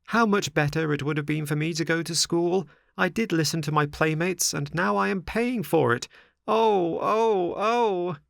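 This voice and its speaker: A man voicing a frightened young wooden puppet boy with a high, pleading, tearful voice. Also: expressive, normal speed, few pauses, no room sound, clean studio sound. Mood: wailing, desperate.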